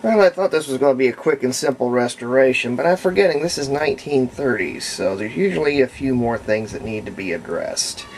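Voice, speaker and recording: Casual man with a gravelly, creaky voice. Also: mid-range voice, animated, a little mellow, slight background noise, poor audio. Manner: unhurried, rambling, explaining step by step. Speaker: hobbyist radio restorer presenting own repair videos. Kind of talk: casual hands-on restoration commentary. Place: indoors at home, partly in a bathroom.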